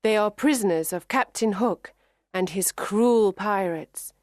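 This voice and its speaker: Female storybook narrator, slow and carefully enunciated, mid-pitched, dry studio-like sound. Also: a little mellow, no room sound. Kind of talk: scripted children's story narration for English learners.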